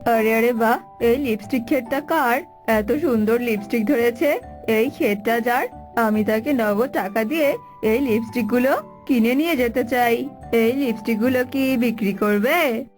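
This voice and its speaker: A woman's voice: a little high, measured pace, a little mellow, faint music behind, voice pushed down, lightly acted. A woman voicing a courteous, businesslike eagle buyer in a cartoon. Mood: admiring. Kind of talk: Bengali cartoon voice acting.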